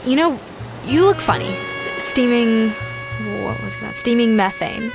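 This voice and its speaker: An actress playing a dreamy, romantic female astronaut in an audio sci-fi drama, speaking in halting, wistful musings. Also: fairly high pitch, expressive, gravelly. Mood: dreamy, tender. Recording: music behind the voice.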